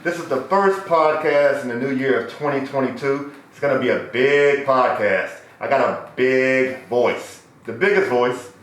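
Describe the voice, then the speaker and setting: A man's voice: mid-range voice, unhurried, hardly any pauses. A man hosting a podcast interview, talking in loose, joking, slangy banter. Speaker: podcast host. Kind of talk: casual interview chat. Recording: very echoey room.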